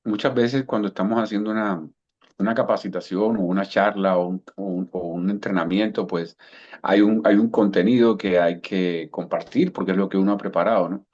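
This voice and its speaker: Low-voiced Cuban man on a dull call. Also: fairly even intonation, fairly quick, a little mellow. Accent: Cuban Spanish softened by years in Colombia. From Cuba. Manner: thoughtful, repeats words while searching for them. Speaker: guest coach. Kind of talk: relaxed storytelling interview answer.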